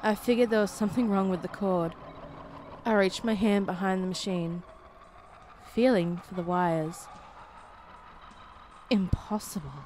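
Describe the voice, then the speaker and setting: A woman reading a scripted horror story as a narrator, in a suspenseful, deliberate way with short dramatic phrases. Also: medium pitch, fairly even intonation, a little mellow, a little hiss or hum. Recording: music behind the voice. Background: background music.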